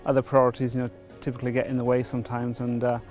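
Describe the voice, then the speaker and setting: Welsh man, middle-aged, even tone, hesitant testimony, soft music underneath. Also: medium pitch, rapid-fire. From Wales. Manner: hesitant, many fillers and restarts. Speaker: church member before baptism. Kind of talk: testimony interview.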